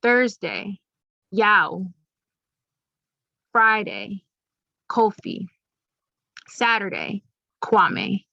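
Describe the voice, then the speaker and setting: A woman's voice: mid-range voice, very animated, extremely slow, creaky, long pauses, bright, forceful tone, no room sound, highs cut off. A young woman giving an upbeat, teacherly presentation to schoolchildren, explaining things plainly. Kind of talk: recorded classroom presentation.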